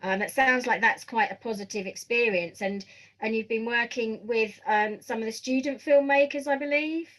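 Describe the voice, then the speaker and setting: A woman hosting a conversational podcast interview, putting her questions in a softened, tentative way. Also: on the high side, moderate pace, poor audio.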